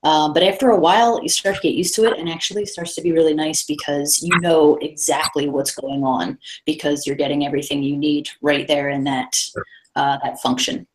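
A woman's voice: sits low, steady tone, a little fast, a little hiss or hum, low-quality recording. A woman presenting a casual coding talk at a meetup over a video call, talking loosely with many fillers and restarts. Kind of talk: casual tech talk with slides.

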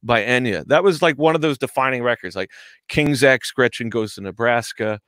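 A man's voice: mid-range voice, normal speed, fairly bright tone, no echo. Man, forties, jokey rambling talk, headset mic, dry sound. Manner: rambling, jokey, swears casually. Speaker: guest musician. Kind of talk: casual video-call chat. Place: home studio, indoors. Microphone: headset microphone on video call.

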